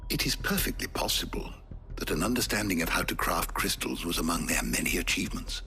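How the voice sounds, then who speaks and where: An actor playing a learned old scholar, speaking formally and deliberately in a video game cutscene. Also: male voice, low voice, lively intonation, pushed and bright, heavily acted. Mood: pensive, scholarly. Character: learned old male scholar, thoughtful and eloquent.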